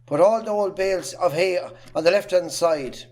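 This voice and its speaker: Bullying Irish farm patriarch, played by a man, gruff and belittling, higher-pitched voice, amateur drama. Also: moderate pace, darkish tone, close to an everyday voice. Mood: brusque, bossy. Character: bullying, scornful, miserly Irish farmer father. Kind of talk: amateur stage drama acting.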